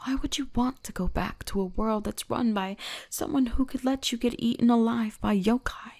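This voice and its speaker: A woman voicing an ancient, possessive, gently dominant kitsune shrine spirit in intimate ASMR roleplay, breathy and often whispering in a soothing, commanding way. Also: medium pitch. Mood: protective, stern.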